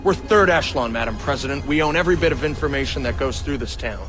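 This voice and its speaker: An actor playing a smug, ruthless rogue agency boss who taunts with drawn-out emphasis in a dramatic video game. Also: male voice, mid-range voice, over music, lightly acted. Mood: smug, menacing.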